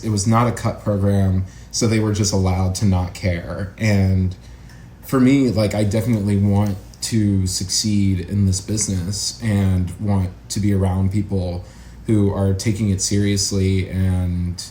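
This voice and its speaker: A man's voice: low voice, level tone, measured pace, warm tone. A young American man chatting casually as an interview guest, with a wry, self-deprecating manner. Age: young adult. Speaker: interview guest, actor and acting educator. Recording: roomy echo, faint background noise.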